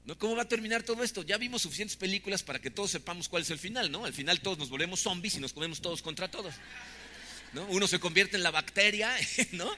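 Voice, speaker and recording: A man's voice: high-pitched, fast talker, pushed and bright, big-room sound, a little hiss or hum. A man, preaching a sermon conversationally, often tagging questions onto his points. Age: 50s. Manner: conversational preaching with frequent "¿no?" tags. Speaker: pastor.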